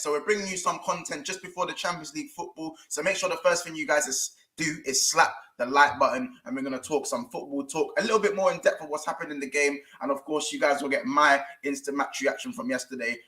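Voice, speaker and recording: A lively man hosting a football talk show with a bright, forceful voice. He chats casually, repeats his phrases and drops slang. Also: fairly high pitch, fast talker, hardly any pauses, echoey room. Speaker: host.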